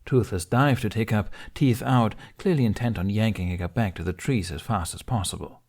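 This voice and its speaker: A man's voice: lowish voice, moderate pace, darkish tone. A man narrating a scripted fantasy audiobook in a dramatic storytelling style.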